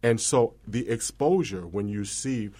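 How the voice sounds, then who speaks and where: Deep-voiced man telling stories earnestly. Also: a little slow, dark tone. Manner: earnest storytelling. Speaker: opera singer guest. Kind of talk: casual panel conversation.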